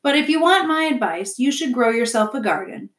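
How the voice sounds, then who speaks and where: A woman's voice: high voice, animated, fairly quick, a bit bright, roomy sound, pitched above the performer's own voice. A woman voicing a grumbling, stubborn beaver husband in a children's storybook, blustery, grudging and fussy. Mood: businesslike.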